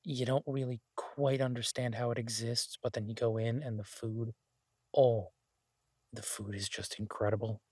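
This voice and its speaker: A man playing an easygoing, affectionate chef in an intimate boyfriend roleplay audio, talking in a husky, halting, teasing way to a partner. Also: mid-range voice, flat delivery, a little mellow, dry recording, studio quality, natural voice. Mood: casual, wistful. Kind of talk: intimate boyfriend roleplay audio, casual one-sided chat.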